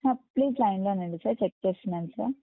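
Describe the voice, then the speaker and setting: A woman's voice: mid-range voice, racing, no echo. A woman working as a customer care agent, speaking politely in support phrases, apologetic and reassuring. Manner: apologetic, reassuring, deferential with frequent "sir". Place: on a phone line. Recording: tinny, narrow phone call recording.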